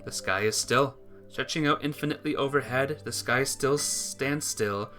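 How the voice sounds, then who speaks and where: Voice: medium pitch, normal speed, pushed and bright, faint music behind. A person reading a visual novel's narration aloud for a playthrough, occasionally stumbling over the script.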